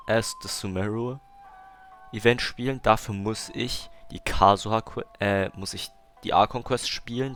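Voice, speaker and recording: A man with a German accent, commenting casually on a game and reading dialogue aloud in a slangy manner that mixes in English. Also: on the low side. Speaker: gamer playing and commenting.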